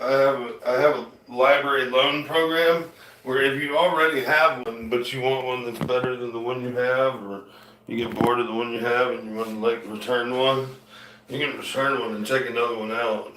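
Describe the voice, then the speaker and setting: A man's voice: mid-range voice, steady tone, normal speed, a bit bright, recorded from a distance, slight background noise. A man with a light Southern accent, chatting loosely to viewers during his own music and painting show, trailing off and restarting as he talks. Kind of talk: rambling casual chat to viewers. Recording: echoey room, voice a bit off-mic, guitar music.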